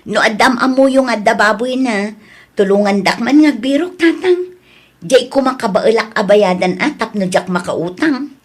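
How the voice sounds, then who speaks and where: A woman with an Ilocano accent acting a frank, scolding woman in a family audio drama, in a husky voice. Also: fairly high pitch, lively intonation, normal speed. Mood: pleading, practical.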